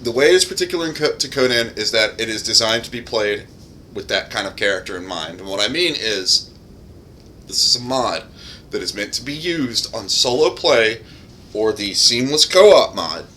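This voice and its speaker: Man, game streamer, casual halting talk, slightly low pitch, crisp bright tone, faint background noise. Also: animated.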